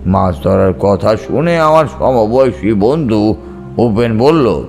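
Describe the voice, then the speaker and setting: A man's voice: on the low side, animated, slow, creaky. A man reading a scripted audio story as its narrator. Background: soft background music.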